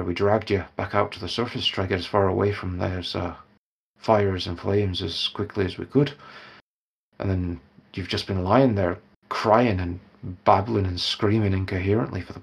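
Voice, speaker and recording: A man voicing a solemn, gravelly warrior. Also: deep voice, fairly even intonation, heavily acted. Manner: solemn, measured recounting. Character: solemn male warrior companion with a warhammer.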